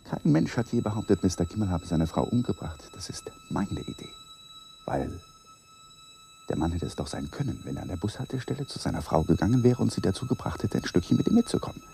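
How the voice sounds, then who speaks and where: An actor in a radio play as a young, awkward lawyer, an evasive husband who placates and broods in inner monologue. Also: male voice, very high-pitched, wildly swooping pitch, brisk, mellow, heavily acted. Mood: musing, obsessive.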